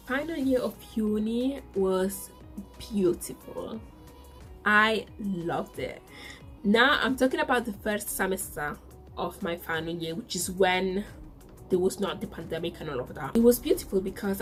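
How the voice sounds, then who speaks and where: A woman in her twenties with an Italian accent, whose first language is Italian, telling a casual story to camera in a chatty way that repeats itself. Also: mid-range voice. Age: 20s. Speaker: vlogger.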